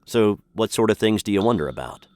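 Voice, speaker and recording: Straight-man lead, male actor: puzzled American captive in a radio sitcom, courteous, lowish and very animated intonation. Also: brisk, dry recording, natural voice. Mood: polite curiosity. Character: bewildered, polite American man chained in a dungeon. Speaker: narrator star of the show. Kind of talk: comic radio sitcom acting.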